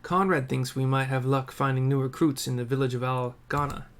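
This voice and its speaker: A seasoned resistance soldier in a fantasy game, read out by a man. He is friendly and earnest, speaking steadily in a mid-pitched voice. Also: fairly even intonation, fairly quick, natural voice. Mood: warm, reflective.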